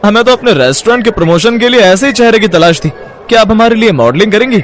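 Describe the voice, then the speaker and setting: A man voicing an eager, flattering photographer scouting models in a cartoon story, pitching enthusiastically. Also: fast talker.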